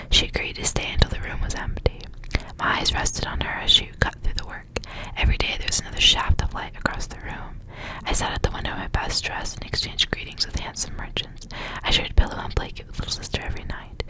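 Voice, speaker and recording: Whispering woman, ASMR reading. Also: rough recording. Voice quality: soft breathy whisper. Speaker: ASMR reader and host. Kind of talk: whispered ASMR story reading with casual asides.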